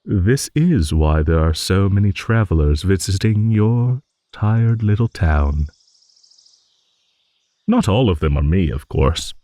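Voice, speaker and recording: A man's voice: low-pitched, animated, slow pace, no echo, clean studio sound. A man voicing a rambling old dragon, genial, wistful and a little vain, in an improvised fantasy audio monologue. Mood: playful storytelling. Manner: rambling, musing, with hums and soft chuckles.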